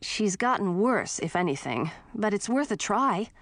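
A woman's voice: medium pitch, sing-song, unhurried, no echo. A woman voicing a bold, earnest young princess with magic powers, insistent and forthright, in a storybook reading.

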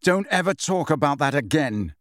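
A man's voice: on the high side, big pitch swings, moderate pace, dry recording, clean studio sound. A man voicing a sarcastic, defiant teenage boy wizard with a taunting manner in an audiobook story. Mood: angry warning.

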